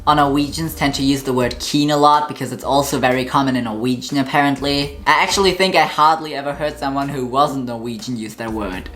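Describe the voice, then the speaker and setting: German-accented young woman, low voice, frank and chatty vlog delivery. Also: a bit bright. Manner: candid, opinionated, chatty. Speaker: vlogger. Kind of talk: casual monologue to camera.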